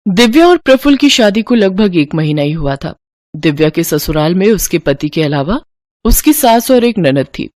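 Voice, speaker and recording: A woman's voice: fairly low pitch, big pitch swings, moderate pace, a little mellow, no room sound. Female storyteller in a dry recording. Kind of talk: scripted moral story narration.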